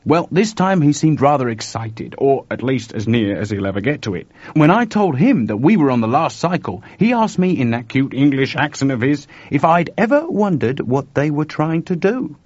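A man voicing an urgent, excitable and worried male computer engineer in an audiobook story, speaking colloquially. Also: mid-range voice, moderate pace, hardly any pauses, dry recording, pitched above the performer's own voice. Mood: animated, confiding. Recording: dull lo-fi dry recording.

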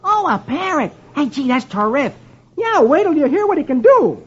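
A man voicing an excitable, pleading comic-strip husband in an eager, strained, exclamatory voice for an old radio reading. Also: very high-pitched, moderate pace, voice pushed up, full character voice. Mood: excited. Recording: dull lo-fi old radio, clear noise.

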